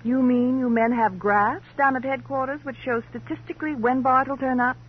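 An actress playing a flippant, jaded rich wife in an old radio crime drama, sardonic, brisk and dismissive. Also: female voice, sits high, fairly even intonation, breathy edge. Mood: impatient, dismissive. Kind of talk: 1940s-50s radio crime drama acting. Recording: dull old radio recording, faint noise.

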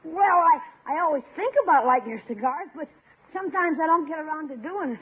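A man's voice: very high voice, lively intonation, brisk. A man acting a cocky, wheedling schoolboy nephew in an old radio sitcom. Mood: evasive. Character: wheedling schoolboy nephew, cocky fast talker dodging trouble. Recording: muffled old radio recording with hiss.

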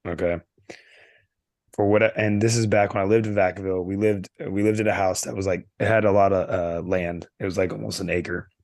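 Low-voiced man telling a rambling story. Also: fairly even intonation, a little fast, vocal fry, a little mellow, no echo, studio quality. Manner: rambling storyteller, many fillers and restarts. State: losing his voice. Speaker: podcast co-host. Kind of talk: casual chat.

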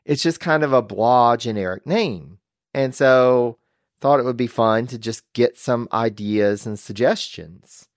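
An upbeat man hosts a casual history podcast, chuckling often as he talks. His voice sits at a middle pitch. The recording is dry and close. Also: unhurried, dry recording. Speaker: podcast host.

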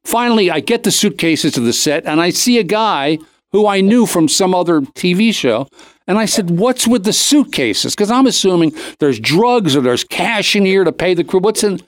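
A man's voice: high voice, lively intonation, normal speed, no room sound. A man hosting a talk show, telling a comic anecdote in a casual, rambling way, cutting himself off and acting out the dialogue. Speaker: show host.

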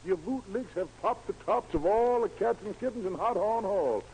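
A man's voice: sits high, expressive, normal speed, darkish tone, a little hiss or hum, poor audio. A man emceeing a 1940s radio music show in slangy, theatrical hepcat jive talk, on a vintage broadcast recording. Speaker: radio show host, master of ceremonies.

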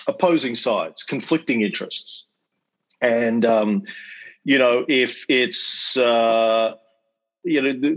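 A man with an Australian accent giving a casual lecture over a video call as a podcast host, chatty and full of ums and uhs, with wry asides. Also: medium pitch. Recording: slightly dull video-call audio.

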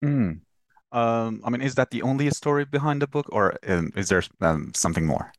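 A man's voice: mid-range voice, animated, moderate pace, no room sound, studio quality. A man hosting an interview podcast, introducing his guest and putting questions to him. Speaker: podcast host.

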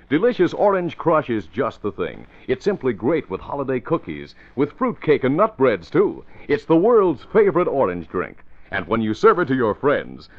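A man with an American accent doing scripted vintage radio announcing. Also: mid-range voice. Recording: dull vintage radio recording.

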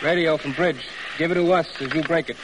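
A man's voice: on the high side, fairly even intonation, a little fast, natural voice. An actor playing an obedient ship's crewman relaying messages in a clipped call, in an old radio drama. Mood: brisk, official. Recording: noisy old radio broadcast recording.

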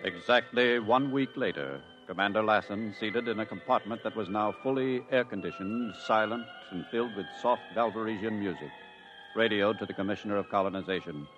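A man giving measured, dramatic scripted narration for a 1950s radio drama. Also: on the low side, highs cut off. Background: music.

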